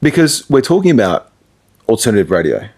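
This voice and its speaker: A man's voice: mid-range voice, very animated, fairly quick, a little mellow, slightly rough. A middle-aged man, a radio presenter, giving casual, conversational advice in an interview.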